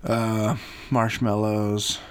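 A man's voice: lowish voice, very slow, a little hiss or hum. A man in his late thirties co-hosting a podcast, joking dryly and mocking himself. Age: 30s. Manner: dry, self-mocking humor, lively intonation. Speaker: podcast co-host. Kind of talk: casual couple chat.

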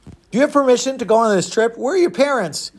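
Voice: medium pitch, expressive, fast talker, breathy edge, voice pushed up. A storyteller voicing a sympathetic, fussy female ticket seller, questioning and cooing. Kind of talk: oral storytelling character voice.

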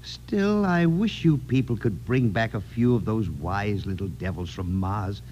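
Bumbling president, low mellow stammer. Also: male voice, expressive, measured pace, a little hiss or hum. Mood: wistful, deferential. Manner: hesitant, stammering, apologetic. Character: bumbling, deferential male national president. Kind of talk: 1950s radio drama acting. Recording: muffled, humming vintage radio broadcast recording.

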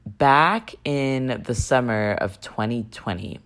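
A woman talks alone as the host of her own podcast, her voice a little raspy. She speaks in a chatty, conversational way and often turns to her listeners with questions. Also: rumbling low, takes it slowly. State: slightly raspy voice. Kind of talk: solo podcast monologue.

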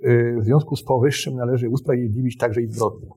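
A man's voice: mid-range voice, steady tone, a little fast, mellow, slightly rough. A man speaking as a guest historian, explaining in formal but hesitant phrases. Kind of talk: explanatory interview talk.

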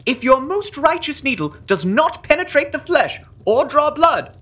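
A woman playing a pompous, officious Puritan gentleman who leads a club, in a comic audio drama with archaic diction. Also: sits high, big pitch swings, normal speed. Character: pompous Puritan gentleman, earnest leader of witch-hunting club.

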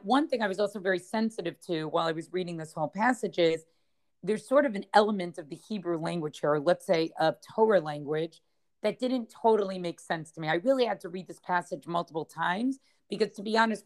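A woman's voice: medium pitch, a little fast, darkish tone. Woman teaching a text, thinking aloud. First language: English. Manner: thinking aloud, frequent "right?" and restarts. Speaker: Talmud study teacher. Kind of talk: explaining a text passage.

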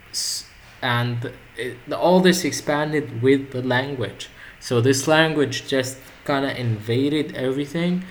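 A man's voice: mid-range voice, a bit bright, slight background noise. A man whose first language is Arabic, talking in a rambling, reflective way with many restarts in a casual conversation.

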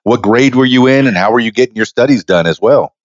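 A man's voice: mid-range voice, fast, dry recording. 50s guy hosting a podcast, rambly, laughs a lot, dry muffled sound. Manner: rambling, restarts often, laughs easily. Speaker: podcast host. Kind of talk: casual interview chat.

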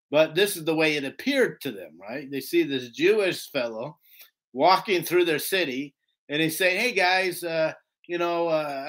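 Male Bible teacher, slightly high voice, informal lesson style, emphatic, rhetorical check-ins. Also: fairly bright tone. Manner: emphatic, rhetorical, often seeking agreement with "right?".

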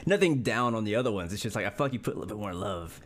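A man with a fairly low voice co-hosts a casual talk show. He puts questions informally, often rewording them as he goes. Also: lively intonation, very fast.

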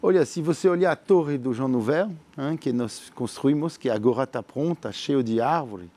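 French man, mellow, halting visionary. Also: mid-range voice. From France. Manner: earnest, visionary, halting with many fillers and repeated words. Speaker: entrepreneur. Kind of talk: interview answers.

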